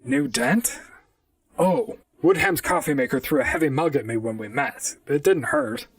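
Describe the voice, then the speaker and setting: A robot gumshoe in a radio-style drama, dry-witted and chatty. The pitch swoops widely over a gravelly, creaky edge. The voice sits slightly back from the mic in a dry space. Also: huge pitch swings, moderate pace, dry recording, far from the mic, heavily acted. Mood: defensive, reasoning. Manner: dry, conversational first-person noir narration. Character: wry robot private detective, talkative and unflappable. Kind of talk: audio drama acting and narration.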